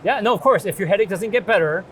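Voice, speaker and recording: A man's voice: high voice, quick pace. A middle-aged man speaking as a doctor in a reassuring, explanatory consultation. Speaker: doctor in a mock patient consultation. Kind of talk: conversational clinical consultation. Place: open booth in large indoor exhibition hall. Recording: noisy trade show hall background.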